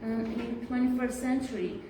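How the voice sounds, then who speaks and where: A teenage girl student giving her view in a classroom discussion, speaking haltingly with many fillers. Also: a little high, little pitch movement, warm tone. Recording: echoey room from far off, clear background noise.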